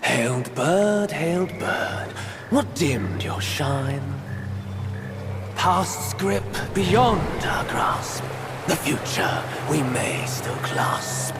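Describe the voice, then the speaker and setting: A man's voice: on the high side, animated, extremely slow, over loud music, heavily acted. A man playing a grave guardian in a fantasy video game, speaking in formal, archaic, rhyming lines. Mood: grave menace. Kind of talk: video game cutscene acting.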